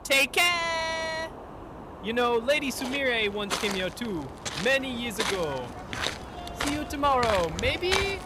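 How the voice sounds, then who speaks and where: A woman's voice: sits high, big pitch swings, takes it slowly, heavily acted. A woman playing a sweet, friendly young child in an audio drama. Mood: friendly, hopeful. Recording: clearly noisy recording.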